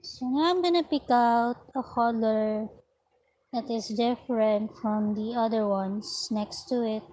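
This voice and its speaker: A woman vlogging about her crochet work in an unhurried, chatty way, her voice slightly raspy. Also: on the high side, warm tone. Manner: unhurried, chatty, talks while working. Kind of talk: slow casual vlog narration while crocheting. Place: at home, indoors.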